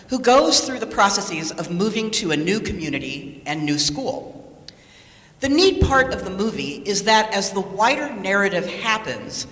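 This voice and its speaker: A woman delivering a scripted sermon with measured, deliberate phrasing. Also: medium pitch, fairly bright tone. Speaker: lay speaker reading a sermon. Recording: echoey hall with long reverb.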